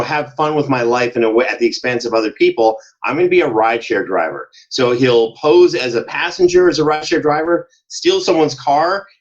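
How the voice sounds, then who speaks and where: A man speaking casually as a podcast guest and producer in an interview. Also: medium pitch. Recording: dull, lo-fi sound.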